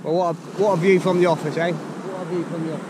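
A man's voice: fairly high pitch, flat delivery, fast talker, background noise. An older man with a Norfolk accent, chatting casually to camera on a beach as he explains his fishing tackle step by step. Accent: Norfolk English. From England. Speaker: fishing channel host. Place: open beach at the sea, outdoors. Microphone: action camera close by. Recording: outdoor camera audio over loud surf. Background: loud surf and waves.

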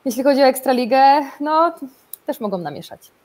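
Higher-voiced woman talking sport. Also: sing-song, a little fast. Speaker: sports presenter and panelist. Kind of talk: casual sports discussion. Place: indoor room at home, video call. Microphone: video call microphone.

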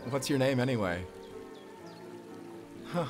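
Man playing gentle devoted boyfriend and dad, unhurried, soft and hesitant, audio roleplay, faint music behind. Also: mid-range voice, animated. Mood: fond, relaxed. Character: gentle, affectionate father and tender romantic partner. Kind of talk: intimate audio roleplay acting.

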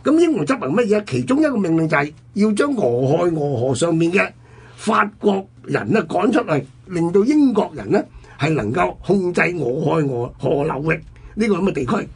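A man's voice: a little high, very animated, moderate pace, darkish tone, husky. A man with a Hong Kong Cantonese accent telling history conversationally as a radio host, in an explanatory manner.

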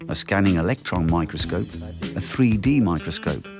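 A man's voice: deep voice, lively intonation, moderate pace. A middle-aged man explaining his laboratory as a professor, enthusiastic and explanatory, in an interview. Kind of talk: interview about teaching and labs. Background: background music.

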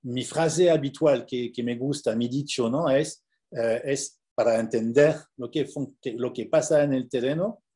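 French guy on a video call, mellow and dark, keeps stumbling and repeating words. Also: mid-range voice. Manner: halting, many repeated words and fillers. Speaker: conference presenter. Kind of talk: academic Q&A over video call.

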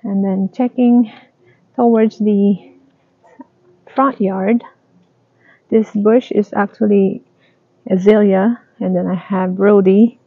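Slow gravelly woman narrating a garden tour. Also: mid-range voice, steady tone, no echo. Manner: slow, halting, plain descriptive talk. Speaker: home gardener giving a tour. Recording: dry, tinny phone-like sound.

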